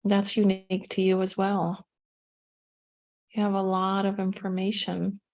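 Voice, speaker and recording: Mellow-voiced woman guiding a meditation. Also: mid-range voice, completely flat delivery. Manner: gentle, unhurried instruction with pauses. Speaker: meditation guide. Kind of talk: guided meditation.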